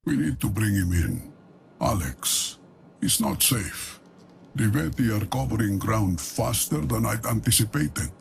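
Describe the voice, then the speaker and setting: An actor playing an ailing, cunning old deposed patriarch in a game cutscene, grave and measured, with a gravelly voice. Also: male voice, on the low side, sing-song, a little hiss or hum, full character voice. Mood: urgent, grave. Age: older. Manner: grave, measured, deliberate pauses. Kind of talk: dramatic game cutscene monologue.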